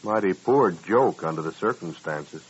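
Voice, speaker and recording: An actor playing a defiant, rueful and slightly wild young frontier bridegroom with folksy speech, in a radio western. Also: male voice, deep voice, animated, normal speed, a little hiss or hum. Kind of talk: 1950s radio western acting. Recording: dull old radio recording.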